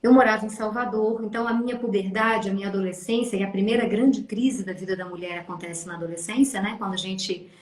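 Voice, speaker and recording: A Brazilian woman in her fifties, a therapist telling her life story in an interview, lively and expressive, often tagging phrases with a questioning aside. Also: medium pitch, fast talker, few pauses. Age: 50s. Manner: animated, acts out quoted voices, frequent "né?". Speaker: guest, family constellation therapist. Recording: echoey room.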